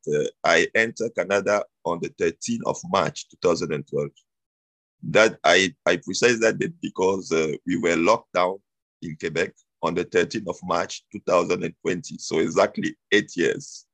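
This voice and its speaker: A man's voice: low-pitched, normal speed, creaky, fairly bright tone, no room sound, low-quality recording. A man, telling his story as an interview guest, hesitant with many fillers and restarts. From Cameroon.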